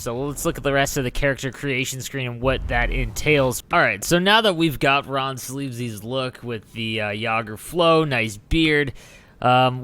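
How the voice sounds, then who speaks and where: A man's voice: medium pitch, a bit bright. A man hosting a gaming video, giving casual, enthusiastic commentary in an informal way. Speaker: gaming channel host.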